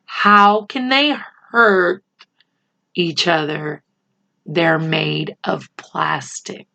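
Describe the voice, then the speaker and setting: A woman's voice: deep voice, drawn-out words, gravelly, pushed and bright, pitched below the performer's own voice, exaggerated voice. A sarcastic young schoolboy who doubts everything, put on by a woman reading a storybook. He sounds challenging and takes his time over words. The recording is a touch dull. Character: skeptical, sarcastic schoolboy, the friend. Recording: slightly dull, lo-fi home recording.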